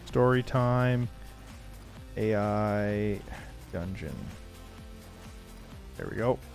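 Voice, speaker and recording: A man hosting a casual game livestream, thinking aloud haltingly and improvising story prompts as he goes. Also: lowish voice, a little mellow, faint music behind. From Canada. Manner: halting, thinking aloud, frequent throat clearing. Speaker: livestream host.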